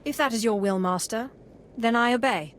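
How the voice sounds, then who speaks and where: An actress playing a self-possessed young Sith apprentice in a video game, cool, composed and curt. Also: female voice, fairly high pitch, normal speed, a little hiss or hum, lightly acted. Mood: dutiful.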